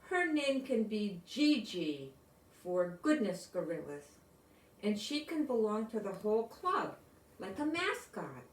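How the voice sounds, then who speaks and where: A woman's voice: mid-range voice, sing-song, slow pace. A sincere, humbled young schoolboy from a storybook , played by a woman reader. He speaks plainly and without hurry. The room echoes around the voice, which sits back from the mic. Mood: happy. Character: touched, repentant schoolboy in a storybook. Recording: roomy echo, distant microphone.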